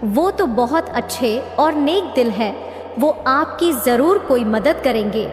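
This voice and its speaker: High-voiced woman acting a caring village wife, echoey. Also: expressive, slow. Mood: attentive, helpful. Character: supportive, caring village wife, practical adviser. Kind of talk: Hindi moral-story cartoon voice acting. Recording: echoey reverb with faint music.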